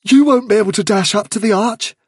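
A man voicing a small male rabbit in an audiobook, gently firm and practical as he gives counsel. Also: high voice, fairly quick, husky, no echo, clean studio sound, voice pushed up. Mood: firm advice.

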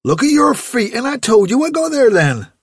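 A man doing a gruff country farmer dad. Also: very high voice, very animated, normal speed, no echo, studio quality, voice pushed up, heavily acted. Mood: scolding. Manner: gruff, scolding, broad country speech. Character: gruff middle-aged farmer father, blunt and practical.

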